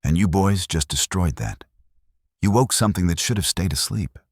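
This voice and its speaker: A man voicing a steadfast townsman in a western story, speaking quietly with reproachful certainty. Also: sits low, lively intonation, moderate pace, breathy edge, dry recording, studio quality. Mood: grave reproach. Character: steadfast male townsman guarding an old secret. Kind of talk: western audio story dialogue.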